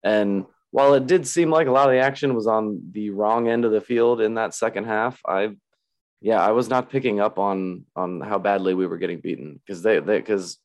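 Hoarse-voiced man hosting a chat. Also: fairly low pitch, moderate pace. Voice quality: raspy. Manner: rambling, self-interrupting, laughs often. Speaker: podcast host. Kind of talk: casual chat.

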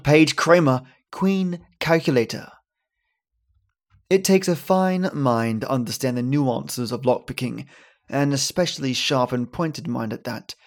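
A man hosting a podcast, delivering scripted thanks and stories in a dramatic way with storytelling pauses. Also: medium pitch, darkish tone. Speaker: podcast host. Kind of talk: theatrical scripted narration and listener thanks.

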